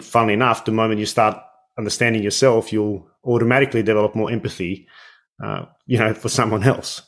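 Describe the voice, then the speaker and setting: A man hosting an interview podcast who reflects on and builds on his guest's points. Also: lowish voice. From Australia. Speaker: podcast host. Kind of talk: conversational interview.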